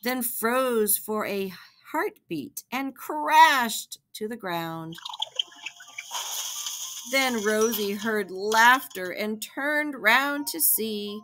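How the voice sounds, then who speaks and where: A woman narrating a children's picture book aloud, expressive and rhythmic like a librarian reading to students. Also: fairly high pitch, extremely slow, poor audio. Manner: expressive, rhythmic rhyming delivery.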